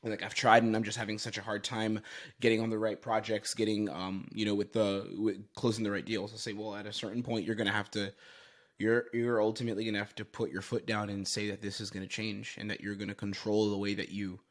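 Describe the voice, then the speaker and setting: A man's voice: on the low side, level tone, fast. A man giving explanatory commentary as a sales coach, stressing his points emphatically and repeating phrases.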